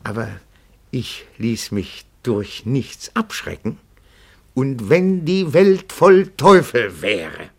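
An actor playing a timid yet nosy, pedantic petit-bourgeois detective in a radio play, speaking in a meticulous, florid, deferential way. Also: male voice, mid-range voice, very animated, slow pace, breathy edge, heavily acted. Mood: solemn, proud. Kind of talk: German radio play dialogue.

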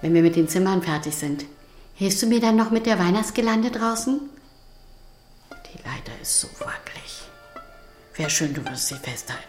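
A woman playing a caring, cheerful hotel housekeeper who gently encourages a child in a radio play. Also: lowish voice, expressive, moderate pace, long pauses, reverberant, soft background music, close to an everyday voice. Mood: gently concerned.

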